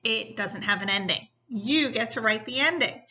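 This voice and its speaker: Female teacher for classroom read-aloud: high-ish, crisp-edged bright tone, unhurried and instructional, on a muffled lo-fi recording. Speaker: teacher reading a story to students. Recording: dull, lo-fi sound.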